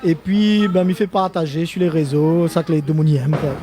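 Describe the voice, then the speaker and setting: A man's voice: a little high, moderate pace, dark tone. An older man from Réunion speaking French with a Creole-inflected accent, a musician guest in a casual live interview who rambles, restarts and laughs often.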